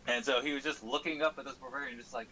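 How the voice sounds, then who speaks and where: A man's voice: mid-range voice, quick pace, rough recording. A man in a casual, informal chat, recapping a tabletop game as a player and swearing lightly. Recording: steady audible background noise.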